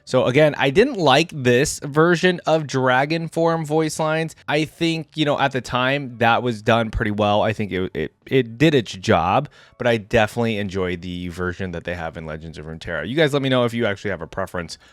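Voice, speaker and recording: A man's voice: mid-range voice, brisk, hardly any pauses, dry recording. A man giving casual reaction commentary as a video host, chatting directly to his viewers in a conversational way. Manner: conversational, addresses viewers directly as guys and gang.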